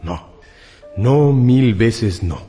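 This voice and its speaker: Deep-voiced, cynical, selfish lover. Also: male voice, expressive, extremely slow, mellow. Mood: scornful, self-justifying. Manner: theatrical, self-absorbed. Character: cynical, selfish male lover feigning tragic remorse. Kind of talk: Spanish-language radio drama acting. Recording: long hall reverb, music underneath.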